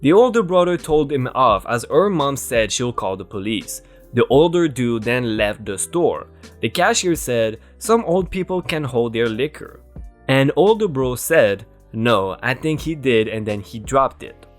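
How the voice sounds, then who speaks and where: A man narrating Reddit stories aloud in a lively, sing-song reading voice. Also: medium pitch, moderate pace, soft background music. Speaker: story narrator.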